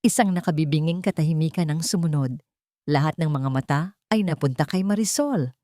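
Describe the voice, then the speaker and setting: A woman reading a dramatic scripted story as narrator, with measured, suspenseful delivery. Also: sits low, dark tone, no echo, clean studio sound.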